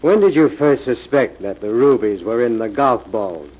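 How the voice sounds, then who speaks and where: An actor playing a firm, matter-of-fact police inspector in an old-time radio crime drama. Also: male voice, medium pitch, expressive, moderate pace, slightly rough. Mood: firm, official. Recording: muffled old radio recording.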